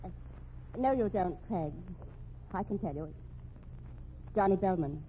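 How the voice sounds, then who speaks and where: An actress playing a haughty, sharp-tongued wife under suspicion in a radio mystery, grand, theatrical and indignant. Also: female voice, mid-range voice, expressive, takes it slowly. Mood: cool. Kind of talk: old-time radio mystery acting. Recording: tinny, noisy old radio recording.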